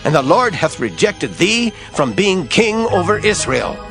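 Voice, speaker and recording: Male biblical prophet, stern authority, declamatory, highly animated pitch, prominent music behind, audio drama. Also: measured pace, gravelly, heavily acted. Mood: stern, condemning. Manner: declamatory, emphatic.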